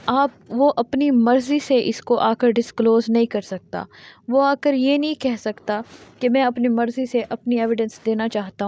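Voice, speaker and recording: A woman teaching law, reading each statute out slowly, word by word, and then explaining it in plain terms. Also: on the high side, warm tone. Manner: reads English legal text slowly word by word, then explains in Urdu. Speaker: law lecturer.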